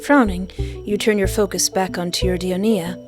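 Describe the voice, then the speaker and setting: A middle-aged woman streaming a game, reading its story aloud as expressive narration with casual asides. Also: mid-range voice, moderate pace. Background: game music.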